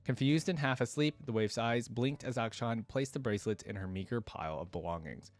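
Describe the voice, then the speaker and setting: A man's voice: medium pitch, brisk, dry recording. A man giving casual, enthusiastic, slangy reaction commentary and reading a story aloud as host.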